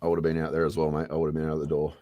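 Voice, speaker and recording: A man's voice: extremely low pitch, monotone, rapid-fire, no room sound, highs cut off. A man guest in a casual political chat, blunt and swearing freely.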